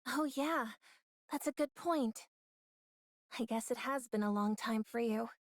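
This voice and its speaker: A woman voicing a small, excitable, fretful young girl fighter in a game cutscene, girlish and emotive. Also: fairly high pitch, measured pace, no echo, studio quality, close to an everyday voice. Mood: softened, wondering. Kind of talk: English game cutscene dub acting.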